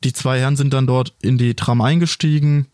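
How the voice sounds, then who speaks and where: Man, police officer, plain storytelling, dry studio recording, mid pitch. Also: fairly even intonation, fairly quick, a little mellow, no echo. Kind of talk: recounting a case.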